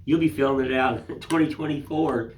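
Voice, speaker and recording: An older man with a raspy, hoarse voice from a cold, chatting casually and rambling as he hosts his woodcarving channel. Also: medium pitch, fairly quick, slight background noise, low-quality recording. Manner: rambling, homespun, folksy filler phrases. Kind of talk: casual chat to camera.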